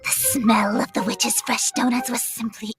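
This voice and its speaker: A woman's voice: a little high, steady tone, moderate pace, music in the background, close to an everyday voice. A woman voice actor performing acted demo reads for voice-over work.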